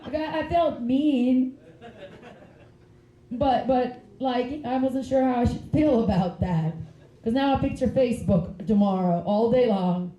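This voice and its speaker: A woman tells stand-up stories in a rambling way that keeps breaking into giggles. Her voice sits a bit high with a soft, mellow tone. The stage mic picks up an echoey club room around her. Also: measured pace, lo-fi sound. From Finland. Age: 30s. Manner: chatty, giggly, rambling asides. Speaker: stand-up comedian. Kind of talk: stand-up comedy set.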